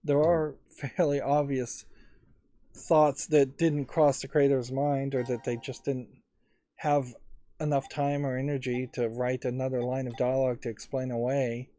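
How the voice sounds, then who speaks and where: A man's voice: medium pitch, little pitch movement, unhurried, dark tone. A man hosting a let's play, giving casual game commentary in a musing, analytical way.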